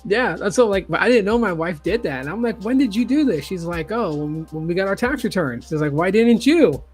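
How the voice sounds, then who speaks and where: A man's voice: sits high, lively intonation, brisk, slightly rough, soft background music. A man in his forties hosting a casual online chat, swearing freely and acting out quoted talk. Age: 40s. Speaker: YouTube host.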